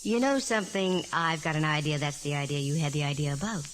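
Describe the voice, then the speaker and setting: An actress playing a wry, self-assured, quick-witted female private eye in a 1940s radio drama. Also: deep voice, faint music behind, lightly acted. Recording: vintage 1949 radio recording, hiss.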